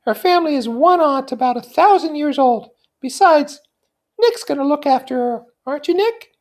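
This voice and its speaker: A man's voice: very high-pitched, lively intonation, normal speed, voice pushed up. A man voicing a dramatic, cynical and flighty young society woman in a theatrical, gushing way from a classic novel. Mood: breezy, teasing.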